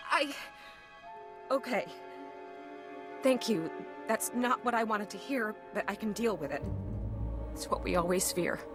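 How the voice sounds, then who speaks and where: An actress playing an anxious civilian wife in a video game, pleading and emotional. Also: female voice, medium pitch, expressive, a little slow, pauses often, over music, lightly acted. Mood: resigned, worried. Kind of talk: video game character acting.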